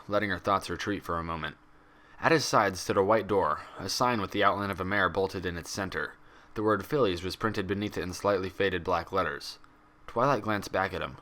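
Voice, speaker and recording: A man's voice: low-pitched, fairly quick, sharp tone. A man reading fan fiction aloud as a narrator, in a dramatic scripted storytelling style.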